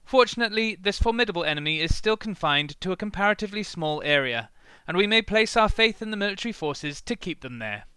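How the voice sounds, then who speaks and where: A stately defence minister gives a grave national address in a radio drama, as a man acts him out. His voice is high, bright and very smooth. He speaks in measured, solemn oratory, close to the mic in a dry, dead space. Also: lively intonation, no room sound. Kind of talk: formal radio-play speech.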